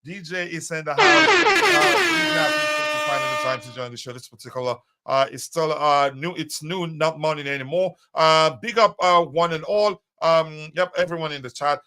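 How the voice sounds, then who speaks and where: A man's voice: on the high side, lively intonation, moderate pace, sharp tone. A man hosting a live fan show, chatting casually with many fillers and greeting viewers by name. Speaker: host of a live fan stream. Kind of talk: casual live-stream chat.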